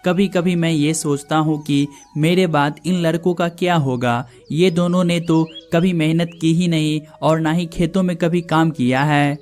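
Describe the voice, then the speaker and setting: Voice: medium pitch, fairly even intonation, a little slow, few pauses, darkish tone, soft background music, lightly acted. An actor voicing a weary, ageing farmer who frets over his sons in a cartoon story. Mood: weary, worried. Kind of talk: cartoon moral story dialogue.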